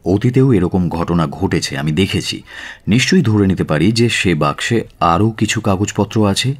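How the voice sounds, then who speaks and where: A man playing a calm, self-assured gentleman detective in a radio drama, reasoning in a measured, persuasive way. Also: deep voice, husky. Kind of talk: Bengali radio drama acting.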